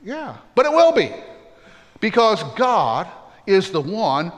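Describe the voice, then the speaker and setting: High-voiced preaching man in a reverberant hall. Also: big pitch swings, slow pace. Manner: earnest preaching with folksy humorous asides. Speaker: pastor. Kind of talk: sermon.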